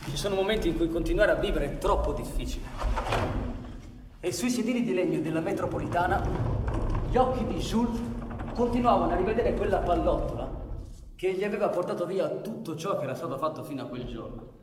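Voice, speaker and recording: An actor playing a proud, bitter anarchist bandit in a radio drama, with a dramatic, deliberate delivery. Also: male voice, sits high, animated, background noise. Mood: grave, brooding. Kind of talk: theatrical radio drama narration and dialogue. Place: live radio performance in an echoey space. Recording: reverberant echoey hall with music.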